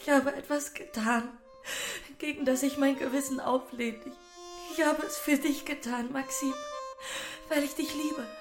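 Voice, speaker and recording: A woman's voice: sits high, fairly even intonation, unhurried, roomy sound, over music. An actress playing a devoted, lovestruck young woman, speaking with fervent emotion in a radio drama. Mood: fervent, tearful.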